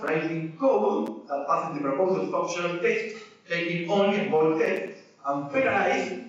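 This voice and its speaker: A man's voice: on the high side, fairly bright tone. A man giving a technical presentation to a small audience, hesitant and full of fillers and restarts, apologetic about his English. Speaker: conference speaker. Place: small lecture room. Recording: echoey room, distant mic, dull sound.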